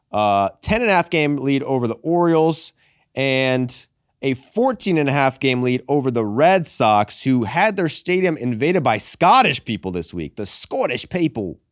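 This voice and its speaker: A young man hosting a casual sports podcast, animated and profane, calling people "brother" and "dude". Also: medium pitch, no room sound, studio quality. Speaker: podcast host.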